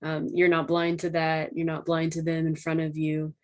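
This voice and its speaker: A woman moderating a therapist panel, speaking in an encouraging, measured way. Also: low-pitched, monotone, darkish tone.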